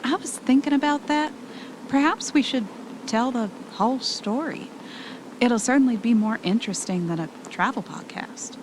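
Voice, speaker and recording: A woman's voice: on the high side, moderate pace, lightly acted. A woman playing a frightened, anxious wife in an amateur audio drama, earnest and questioning. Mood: weary, relieved. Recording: clearly noisy amateur recording.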